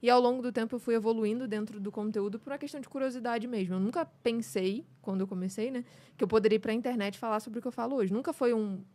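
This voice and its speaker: A woman's voice: fairly high pitch, fast, hardly any pauses, warm tone. A woman in her twenties from Rio de Janeiro with a carioca accent, speaking candidly and reflectively as a podcast guest. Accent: Carioca Brazilian Portuguese. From Brazil, Rio de Janeiro state. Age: 20s. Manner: candid and reflective, many "né" and "sabe" tags. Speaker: podcast guest, communication coach. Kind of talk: casual interview chat.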